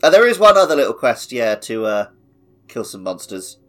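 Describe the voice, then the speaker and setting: A man's voice: medium pitch, sing-song, normal speed. A man hosting a casual gaming podcast, talking in a jokey way. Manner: jokey, drops film quotes. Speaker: podcast host and editor.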